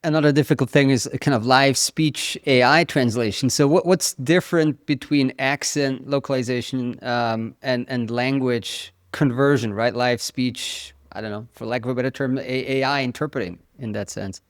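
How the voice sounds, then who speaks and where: A man's voice: mid-range voice, steady tone, normal speed, hardly any pauses, no echo, clean studio sound. A man with a German accent hosting a podcast, asking questions and rephrasing them on the fly. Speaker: podcast host. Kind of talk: interview questions.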